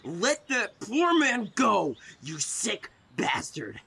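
An actor in an audio horror drama playing an armed, furious, distrustful survivor, threatening and accusing. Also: male voice, sits high, wildly swooping pitch, slow pace, gravelly, pushed and bright, husky, full character voice. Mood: menacing, furious. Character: armed, furious, distrustful male survivor guarding his neighbourhood.

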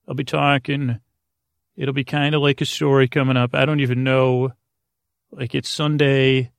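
A middle-aged man hosting a bedtime sleep podcast, rambling and halting, self-deprecating and trailing off mid-sentence. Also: medium pitch, creaky, no echo, studio quality. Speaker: podcast host.

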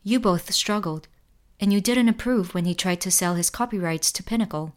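A woman playing an inquisitive android who poses as a portrait sitter and confronts the artist in an audio drama, in a measured manner. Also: mid-range voice, natural voice. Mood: accusing. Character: probing female android posing as portrait sitter.

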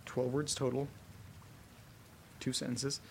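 A man playing a frightened character trapped in a haunted house, muttering to himself haltingly and swearing in a horror audio drama. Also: mid-range voice, level tone, creaky, slight background noise. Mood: tense puzzling.

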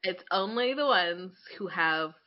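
A woman's voice: fairly low pitch, animated, unhurried, lo-fi sound. A young woman co-hosting a podcast in a casual, conversational style, candid and opinionated, often slipping into imagined quotes. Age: young adult. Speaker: podcast co-host.

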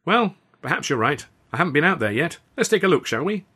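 A man's voice: fairly high pitch, sing-song, fast, bright, forceful tone, husky, voice pushed up, heavily acted. A man voicing a loyal but evasive young male comedian in an audiobook, with a protesting manner. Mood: flustered, feigned innocence.

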